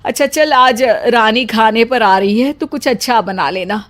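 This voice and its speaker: A woman plays a plump housewife from a cartoon family tale. Her voice is high, and she speaks in a complaining, self-pitying way, never content with herself. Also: unhurried, fairly bright tone. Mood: discontented. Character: plump, fair housewife, discontented with her own looks. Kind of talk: cartoon family story acting.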